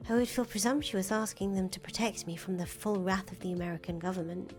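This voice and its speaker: A woman's voice: mid-range voice, quick pace, a little mellow, natural voice. A woman voicing an anxious, disillusioned young fangirl in a visual novel, hesitant, earnest and self-deprecating. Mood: self-deprecating, uneasy. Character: anxious, disillusioned young fangirl, soft-hearted conscience of a revolutionary. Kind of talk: visual novel dialogue reading. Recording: music behind the voice. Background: music.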